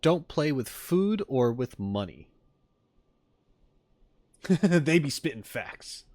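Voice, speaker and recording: A man's voice: fairly high pitch, big pitch swings, takes it slowly, darkish tone, dry recording. A man chatting casually as a streamer to a live audience, rambling and playful. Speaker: streamer reacting to game content.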